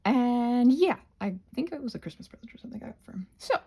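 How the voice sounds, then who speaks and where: A woman's voice: fairly high pitch, expressive, fast, creaky, dark tone, slightly rough. A woman talking through a craft demonstration in a rambling, theatrical, self-interrupting way, chatting to herself and to objects, recorded on a phone. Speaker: craft video creator demonstrating butterfly wing prep. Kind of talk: rambling casual craft tutorial with asides to self.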